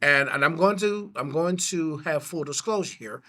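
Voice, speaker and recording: A man's voice: on the high side, normal speed, pushed and bright. A man hosting a school interview, effusive and full of praise and affirmations. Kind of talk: interview host.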